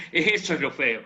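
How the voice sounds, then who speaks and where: A man's voice: a little high, dull audio, background noise. A man from Argentina with an Argentine accent, talking casually and joking as a guest in an online conversation, with many fillers. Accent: Argentine Spanish. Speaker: guest speaker.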